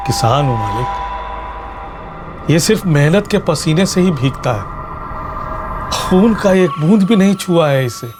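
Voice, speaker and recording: Weary farmer father, middle-aged, higher-pitched, earnest and respectful, loud dramatic score, TV crime drama. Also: animated, measured pace, pauses often. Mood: pleading. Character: weary, humble farmer, father of a fugitive son. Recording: loud dramatic background music.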